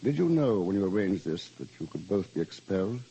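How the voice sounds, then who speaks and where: An actor playing an anxious, stern English boarding school housemaster, with a British accent and formal, measured wording, in old radio drama style. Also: male voice, low-pitched, sing-song, dark tone, a little hiss or hum, low-quality recording. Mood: stern, probing. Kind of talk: old-time radio drama acting and first-person narration.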